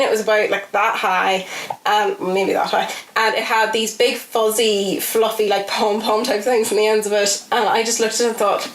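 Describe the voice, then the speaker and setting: A woman telling casual anecdotes as a podcast guest, chatty and animated. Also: mid-range voice. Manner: chatty, animated, giggly delivery with quoted asides. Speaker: podcast guest, actor and film extra. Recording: echoey room, faint background noise.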